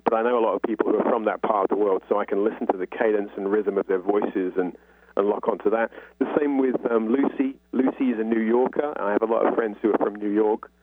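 London man on a husky, phone-like line. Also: on the low side, fairly even intonation, fast, no room sound. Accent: London English. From North London, England. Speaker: guest novelist. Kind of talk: conversational radio interview answers. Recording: phone-like, muffled narrow-band line.

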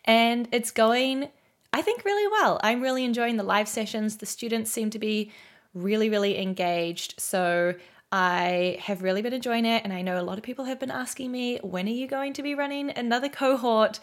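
A young woman co-hosting a podcast, chatting in a relaxed, upbeat way with lots of "like" fillers. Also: on the high side, moderate pace. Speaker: podcast co-host. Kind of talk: casual chat.

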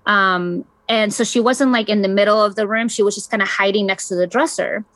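A woman's voice: medium pitch, fairly even intonation, a little fast, fairly bright tone, no echo. A woman in her thirties from Chile, telling a personal story in a casual, chatty way with many fillers. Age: 30s. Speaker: guest.

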